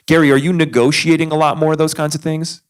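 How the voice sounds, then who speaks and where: A man's voice: fairly high pitch, little pitch movement, fast talker, smooth. A man moderating a live panel discussion at a conference, talking in a loose, conversational way with fillers. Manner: conversational, thinks aloud with fillers.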